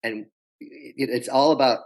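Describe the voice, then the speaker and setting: A man coaching on pitching in a podcast interview, telling stories and acting out quoted voices. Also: unhurried, creaky, rough recording. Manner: animated storyteller acting out quotes.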